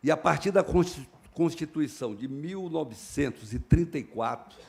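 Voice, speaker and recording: A man giving a formal keynote speech at a conference, measured and building to emphatic stresses. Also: a little high, animated, vocal fry. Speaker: keynote speaker.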